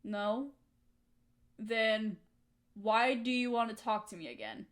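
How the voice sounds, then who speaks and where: A woman's voice: a little high, slow pace. A young woman acting a hurt, resentful friend in a dramatic audio roleplay, speaking in an emotional, accusing way. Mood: subdued, wary.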